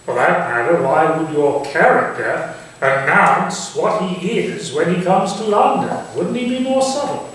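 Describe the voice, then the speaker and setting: A man's voice: on the high side, unhurried, a bit bright. A man playing an urbane, teasing, proud Victorian English nobleman in a staged reading, articulate and dryly probing in a theatrical way. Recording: very echoey room, distant mic, hissy noise.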